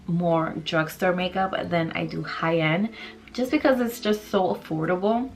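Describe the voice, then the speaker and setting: A woman's voice: on the low side, a little slow. Stuffy-nosed woman chatting through a makeup tutorial. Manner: chatty and rambling, many likes and fillers. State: stuffy nose from allergies. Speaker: beauty vlogger. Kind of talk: casual makeup tutorial chat. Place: small bedroom vanity indoors.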